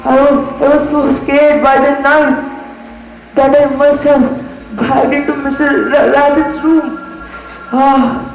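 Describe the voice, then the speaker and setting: Young student playing a high-voiced, shaken, wounded lady. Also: level tone. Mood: shaken, frightened. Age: child or teenager. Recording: echoey school stage recording, faint noise.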